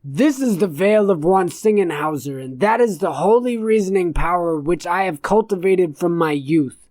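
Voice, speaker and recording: A woman's voice: fairly low pitch, animated, moderate pace, dark tone, no echo, close to an everyday voice. A woman voicing a pompous, scornful, verbose old male philosopher in an audiobook story. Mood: grandly boastful.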